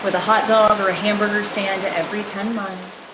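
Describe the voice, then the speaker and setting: A woman acting a haunted traveller in her thirties in an amateur radio thriller, urgent and halting, repeating phrases for emphasis. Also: mid-range voice, flat delivery, very noisy, natural voice. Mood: uneasy recounting. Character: haunted 36-year-old woman traveller, shaken but insisting she's sane. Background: music and rain.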